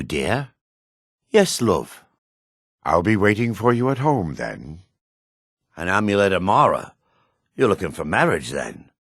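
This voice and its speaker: An actor playing a male dark elf townsman in a fantasy video game, with a raspy voice, gruff and theatrical. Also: low-pitched, no echo, studio quality, full character voice. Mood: calm, pleasant. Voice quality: raspy, husky.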